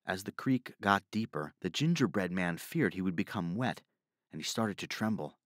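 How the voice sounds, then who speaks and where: Man narrating a children's story, lively intonation. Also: deep voice, moderate pace, no echo, clean studio sound.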